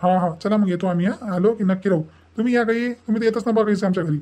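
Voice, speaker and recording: A man's voice: high voice, very fast, darkish tone, lightly acted. A man voicing a grumbling, exasperated village husband in an amateur cartoon comedy, matter-of-fact, with a Varhadi Marathi accent. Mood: polite.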